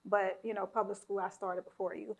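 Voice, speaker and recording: A woman's voice: medium pitch, level tone, fairly quick, creaky, rough recording. A woman hosting a casual podcast chat, asking questions and sharing her own memories with many fillers. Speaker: podcast host.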